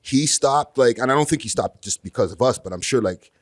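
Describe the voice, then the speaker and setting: A man talking casually in a panel discussion, conversational and slangy, quick to laugh. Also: mid-range voice, expressive, dry recording, studio quality. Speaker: podcast panelist.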